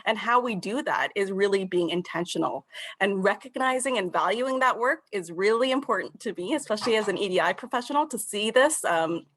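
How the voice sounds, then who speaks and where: Halting woman, mid-pitched. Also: a bit bright. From Canada, born in Tanzania. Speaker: panelist, inclusion executive. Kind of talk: professional panel remarks.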